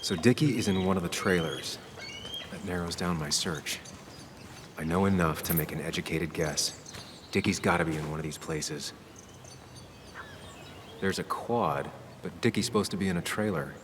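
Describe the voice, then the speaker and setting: Low-voiced, terse investigator. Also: male voice, moderate pace, long pauses, a bit bright, a little hiss or hum. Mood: focused, musing. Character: dogged male ex-reporter investigator, reserved and blunt. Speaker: player-character investigator, former reporter. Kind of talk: video game dialogue and inner monologue.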